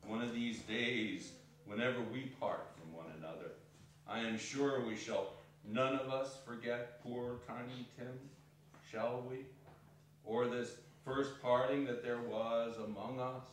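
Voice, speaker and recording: A man playing a gentle, grieving father, speaking tenderly and haltingly in a theatrical reading. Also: mid-range voice, fairly even intonation, close to an everyday voice. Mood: solemn, emotional. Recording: very echoey hall, distant, faint noise.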